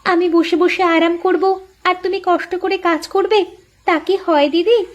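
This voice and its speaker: A woman's voice: extremely high pitch, fairly even intonation, normal speed. A woman voicing a devoted, eager younger co-wife in a village cartoon, with a pleading, girlish, deferential manner. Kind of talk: cartoon voice acting.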